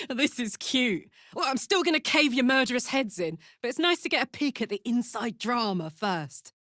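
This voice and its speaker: A woman's voice: a little high, animated, moderate pace, fairly bright tone, no echo, studio quality. A woman voicing a brash, big-hearted fiery tiefling warrior in a video game, blunt, slangy and swaggering. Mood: gleeful, taunting. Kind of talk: video game character voice lines.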